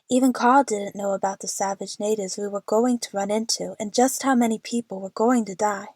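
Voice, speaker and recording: A woman's voice: fairly high pitch, a little mellow, no echo, studio quality, lightly acted. A woman playing an anxious, lovestruck young woman who narrates her adventure earnestly in an audio drama.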